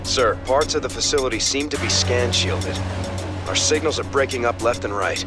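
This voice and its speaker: An actor playing a loyal, earnest second-in-command in a game cutscene, briefing in a measured, dutiful way. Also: male voice, mid-range voice, loud music behind the voice, natural voice. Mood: serious, dutiful. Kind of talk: video game cutscene acting.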